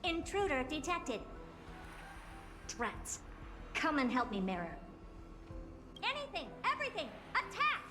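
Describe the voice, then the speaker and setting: A woman playing a haughty, brisk genius scientist in a video game, explaining things in an imperious, matter-of-fact way. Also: sits high, sing-song. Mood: commanding. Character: haughty, brisk genius female scientist who commands puppets. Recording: game music behind. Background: game music.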